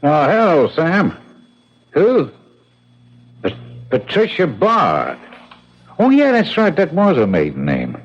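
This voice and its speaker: An actor playing a cynical, wisecracking investigator with clipped colloquial talk in 1970s radio drama style. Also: male voice, fairly high pitch, huge pitch swings, takes it slowly, long pauses, soft background music, full character voice. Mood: brisk, suspicious. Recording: muffled 1970s radio broadcast.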